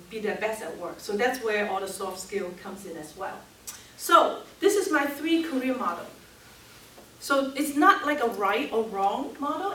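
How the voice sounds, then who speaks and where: A woman's voice: on the high side, a bit bright, a little hiss or hum. A woman in her fifties from Hong Kong, with a Cantonese accent, giving a workshop talk and engaging her audience with questions. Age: 50s. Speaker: workshop speaker. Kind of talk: live seminar talk. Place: seminar room. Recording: echoey room, mic at a distance.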